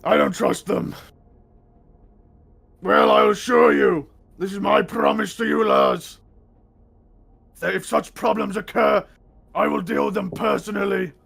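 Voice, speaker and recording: A man voicing a stern, pompous lord in a fantasy tabletop game, declaiming commands with a gravelly, rough voice. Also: high-pitched, sing-song, a little slow, pauses often, a bit bright, exaggerated voice. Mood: stern, defiant.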